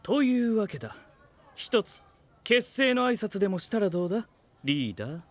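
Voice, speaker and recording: A man's voice: sits high, very animated, normal speed, no echo. An actor playing a composed, pedantic young male scientist knight with formal, logical phrasing in an anime-style voice drama. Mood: cool, analytical.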